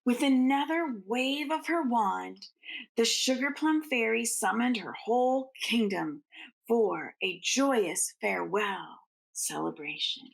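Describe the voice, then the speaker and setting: Creaky-voiced woman reading a children's storybook. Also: fairly high pitch, lively intonation, slow, rough recording. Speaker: teacher reading aloud to students. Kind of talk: children's storybook read-aloud.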